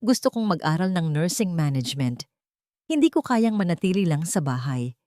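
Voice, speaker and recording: A gentle, resolute young wife voiced by a woman storyteller speaks in a fairly low, expressive voice. It is a dry recording of a romantic audio drama. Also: moderate pace, no echo. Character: determined, soft-spoken young wife and mother.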